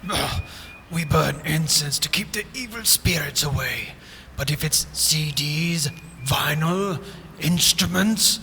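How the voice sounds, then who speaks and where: A man's voice: fairly high pitch, vocal fry, bright, forceful tone, slight background noise, heavily acted. A man playing a grandiose shopkeeper who proclaims like an ancient warrior-king in a comic radio skit. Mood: grandly welcoming. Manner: pompous, theatrical archaic proclaiming.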